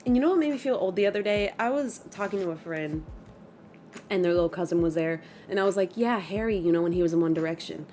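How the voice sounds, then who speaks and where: A woman's voice: lowish voice, fast, a little hiss or hum. A woman in her mid-twenties chatting casually on a gaming livestream, reading chat and reacting aloud. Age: 20s. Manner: chatty, animated, reacts with gasps and laughs.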